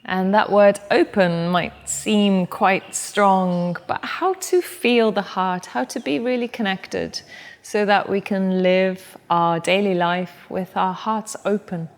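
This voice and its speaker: Lady yoga teacher talking you through a meditation, slow and soft, voice trails off into a long reverb. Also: mid-range voice. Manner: soft, slow and gentle guidance. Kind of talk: guided meditation.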